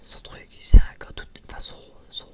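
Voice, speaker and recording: A man's voice: moderate pace, sharp tone. A man whispering breathily in a casual, unscripted ASMR style, rambling hesitantly with many false starts. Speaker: ASMR video creator.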